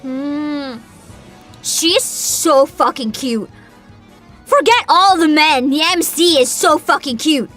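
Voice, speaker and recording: A young woman livestreamer with a high, very animated voice that swings up and down as she talks. She chats casually to her viewers in an excitable, giggly, unfiltered way, with music playing behind her. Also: takes it slowly. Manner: excitable, giggly, informal and sweary. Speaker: livestream host, vtuber. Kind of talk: casual livestream chat and reactions. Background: background music.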